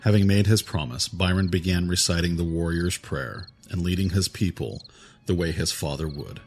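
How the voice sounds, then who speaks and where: A man narrating an audio drama in a measured, even storytelling manner. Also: deep voice. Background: music score.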